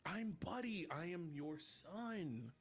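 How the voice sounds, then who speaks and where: A man playing a naive, excitable grown man raised as an elf in a live comedy table read, earnest and blunt. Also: high-pitched, slow, husky, soft background music, exaggerated voice. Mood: elated, adoring.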